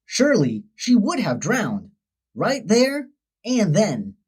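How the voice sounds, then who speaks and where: A woman narrating a children's story in a dramatic, expressive way. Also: medium pitch, takes it slowly, slightly rough. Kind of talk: scripted children's story read-aloud.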